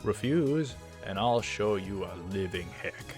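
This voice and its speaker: Guy playing stern bossy young man in a visual novel, kinda low voice, thinks a lot of himself, quiet music. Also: lively intonation, measured pace. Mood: stern, demanding. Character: stern, threatening, self-important young man.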